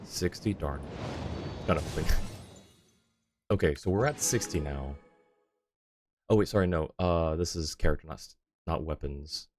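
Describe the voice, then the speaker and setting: Low-voiced man streaming a game casually. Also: a little slow, long pauses, a little mellow, a little hiss or hum. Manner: informal, thinking aloud. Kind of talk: casual livestream chat and game commentary.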